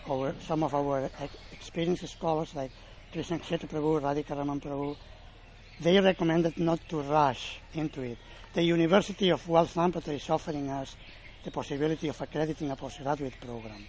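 A man with a slightly higher voice speaks in halting, self-correcting explanations, answering questions at an informal gathering. He talks outdoors, with a faint hum of ambient noise behind him. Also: darkish tone, poor audio. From Spain. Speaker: college representative. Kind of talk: informal Q&A presentation.

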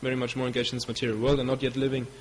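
A man from Germany with a German accent, a devotee giving a storytelling talk. He speaks haltingly and stops to search for English words. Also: medium pitch, monotone, slight background noise. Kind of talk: storytelling lecture. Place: hall in Belgium. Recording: long hall reverb with faint ambience.

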